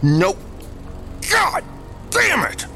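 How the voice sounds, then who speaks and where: A man's voice: extremely high pitch, huge pitch swings, very slow, pushed and bright, faint music behind, heavily acted. An actor playing an intense, hot-tempered male street artist in an audio drama, speaking in terse, sweary bursts. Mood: angry, agitated.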